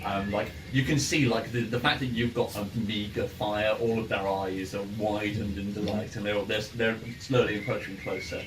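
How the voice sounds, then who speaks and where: Halting man running a game, noisy distant mic. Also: fairly low pitch, steady tone, roomy sound. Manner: halting, hesitant delivery. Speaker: game master. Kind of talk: improvised tabletop game narration. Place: in-person game room with ambient sound. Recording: noisy room, distant microphone.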